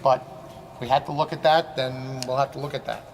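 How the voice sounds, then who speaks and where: Administrator at a board meeting, gravelly, halting delivery, room noise. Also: male voice, medium pitch, flat delivery. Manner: measured, halting, restarts his phrases. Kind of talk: meeting discussion. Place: large school meeting room. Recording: noisy meeting room sound.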